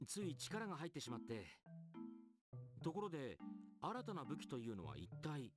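An actor playing a courteous, composed young monk in an anime game. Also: male voice, fairly high pitch, wildly swooping pitch, quick pace. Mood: concerned, polite. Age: young adult. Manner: courteous, formal. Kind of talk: Japanese anime game voice acting. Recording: loud game music over voice. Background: loud game music.

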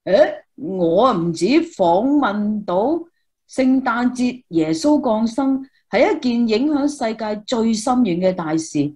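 A woman plays a devout, eager student reporter in a Christmas radio drama. Her voice sits somewhat high, with earnest exclamations and a Hong Kong Cantonese accent. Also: expressive, takes it slowly, mellow. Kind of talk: Christmas radio play dialogue.

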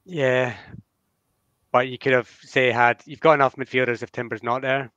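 A young man wearing a headset on a video call, arguing his football points in a lively, reasoned way. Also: mid-range voice, normal speed, no echo, dull audio. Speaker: co-host.

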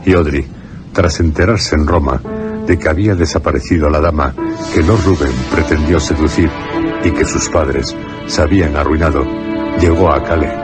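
Male narrator, extremely low pitch, solemn phrasing, suspense radio play, prominent music.

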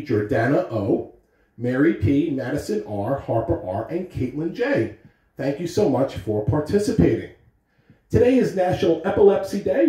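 A man's voice: medium pitch, mellow. An American man in his thirties giving morning announcements and reading a storybook aloud to children. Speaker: school principal or staff announcer and story reader. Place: carpeted living room at home. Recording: roomy, distant phone camera recording.

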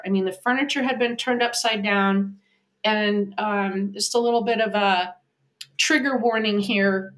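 A woman's voice: mid-range voice, a bit bright. A woman in her fifties from the United States, an animal communicator telling a vivid story as a podcast guest over a video call from a home office. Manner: vivid storytelling, acting out quoted voices. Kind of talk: storytelling in an interview. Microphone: video call, webcam.

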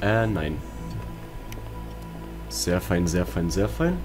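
Deep-voiced German man gaming, music behind. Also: sing-song, slow pace. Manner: jumpy, exclamatory, self-talking. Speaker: let's player. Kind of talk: casual horror game commentary. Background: game music and sounds.

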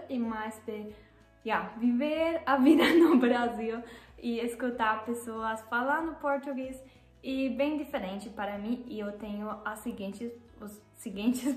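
A young woman from Germany speaking with a German accent, who often pauses and corrects herself and laughs at her own mistakes. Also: on the high side, reverberant. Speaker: vlogger. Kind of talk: casual vlog talk to camera. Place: living room, seated on a sofa.

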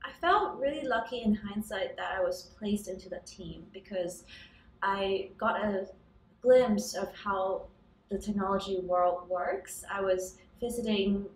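A woman's voice: medium pitch, measured pace. Woman, young adult, from Hong Kong, startup founder, hesitant fillers, roomy echo, distant mic. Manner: thoughtful, many ums and restarts, occasional laughs. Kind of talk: casual interview answers. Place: office room by a large window with city towers outside.

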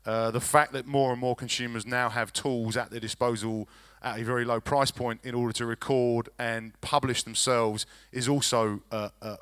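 A man in his forties, a record label executive, answering at a conference panel on a handheld mic, measured with many fillers and restarts. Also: mid-range voice, flat delivery, fairly bright tone. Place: large conference hall stage.

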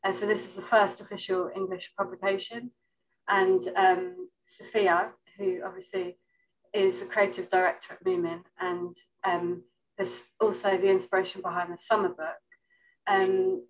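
A woman's voice: medium pitch, rough recording. A woman speaking informally as a curator on a panel over a video call, hesitantly searching for her words. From an island. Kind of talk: informal panel talk about an exhibition. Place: indoor room on a video call.

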